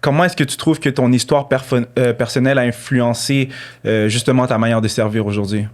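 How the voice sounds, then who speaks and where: Podcast host guy, mid pitch, lots of mhm and ok, asks questions. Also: fairly even intonation, a little fast. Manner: attentive, frequent short acknowledgements. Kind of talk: interviewing, short backchannels.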